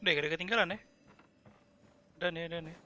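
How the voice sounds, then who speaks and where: A man's voice: on the high side, racing, bright, forceful tone. An Indonesian-accented man livestreaming a game, reacting casually.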